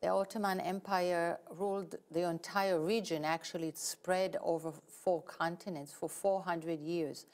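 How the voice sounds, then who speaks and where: A novelist from Israel with an Israeli accent, a woman explaining her work in an interview in a measured, precise way. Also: lowish voice, steady tone, darkish tone. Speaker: guest novelist. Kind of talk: interview answers.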